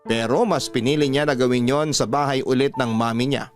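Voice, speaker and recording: A man with a Filipino accent narrating a first-person love story in a measured, earnest radio style. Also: mid-range voice, fairly even intonation, soft background music.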